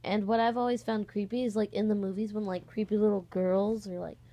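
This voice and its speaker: A young woman talks casually to her online followers, rambling a little and often pausing to correct herself. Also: medium pitch, little pitch movement, mellow. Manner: rambling, hesitant, self-correcting. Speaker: online voice-acting channel host. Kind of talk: casual vlog, audition call.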